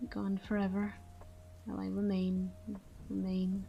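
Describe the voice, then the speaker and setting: A woman playing a tender, melancholy vampire lover in an intimate roleplay monologue, murmuring slowly and slipping into whispers. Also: medium pitch, monotone, gravelly, muffled recording. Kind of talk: intimate ASMR-style audio roleplay monologue.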